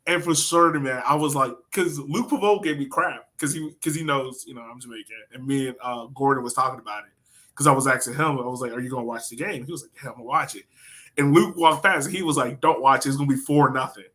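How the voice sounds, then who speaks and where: A man with a bright, forward voice at a middle pitch co-hosts a sports podcast. He talks casually and slangily, like someone telling a story to a friend. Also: fast talker. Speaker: soccer podcast co-host.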